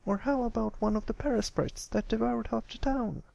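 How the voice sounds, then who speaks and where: A man's voice: high voice, moderate pace, vocal fry, a little mellow, no room sound, lo-fi sound, pitched above the performer's own voice. A man voicing a sweet, chirpy little filly pony with a light, girlish manner in a storybook reading. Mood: playful.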